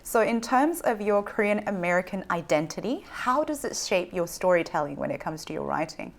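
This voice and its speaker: A woman's voice: lowish voice, animated, brisk, vocal fry. Woman co-host asking interview questions.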